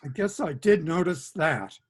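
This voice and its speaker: A man playing a bewildered, gullible patient, earnest and halting, in a comic play reading over a video call. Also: fairly high pitch, lively intonation, slight background noise. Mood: resigned dismay.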